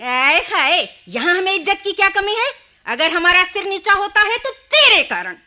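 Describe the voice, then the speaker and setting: A woman's voice: very animated, moderate pace, pushed and bright, exaggerated voice. An actress playing an indignant, scolding mother-in-law who dotes on her son, in a radio drama. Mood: indignant, scornful. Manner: shrill, indignant scolding with exclamations. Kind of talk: Hindi radio drama acting.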